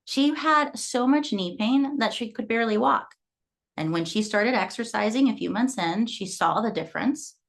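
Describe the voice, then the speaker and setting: A woman's voice: fairly high pitch, expressive, moderate pace, gravelly. Earnest woman from Guatemala coaching fitness. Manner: earnest, storytelling. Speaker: fitness coach and gym owner. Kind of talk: motivational talk.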